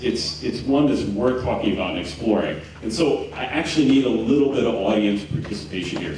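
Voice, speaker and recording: A man in his forties from Houston gives a loose, joking festival talk, stumbling over restarts and fillers. His voice sits fairly low and comes from a distance, washing around a big echoing tent. There's a light hiss of ambience underneath. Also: darkish tone. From Houston, Texas. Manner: chatty, jokey, lots of fillers and restarts. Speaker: conference speaker. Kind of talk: live conference talk. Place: large tent at an outdoor festival. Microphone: handheld mic. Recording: roomy tent captured from a distance.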